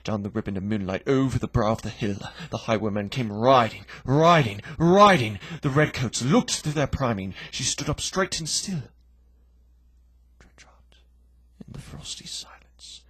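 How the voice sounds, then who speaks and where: A man giving a theatrical, dramatic poetry recitation in a breathy, gravelly voice, moving between hush and forceful declamation. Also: mid-range voice, lively intonation, normal speed, no echo. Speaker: poetry reader.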